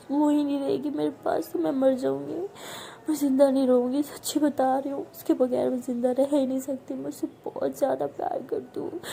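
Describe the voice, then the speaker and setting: A woman's voice: a little high, warm tone, slight background noise, lightly acted. A woman voicing a desperate young woman who pleads tearfully over someone she loves.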